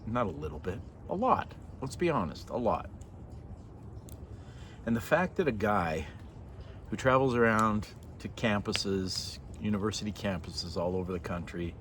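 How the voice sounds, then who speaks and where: An older man from Norway, raised partly in Canada, speaking casually and reflectively in a hesitant, sincere, unscripted way. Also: lowish voice. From born in Norway, moved to Canada as a child. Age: 50s to 60s. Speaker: vlogger. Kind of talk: casual reflective monologue to camera. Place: outdoors by a fire in a yard at night. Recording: noisy outdoor ambience.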